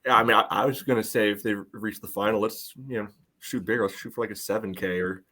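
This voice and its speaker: A man co-hosting a sports podcast, chatting casually with halting phrasing full of filler words. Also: on the low side, fairly even intonation, sharp tone. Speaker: podcast co-host.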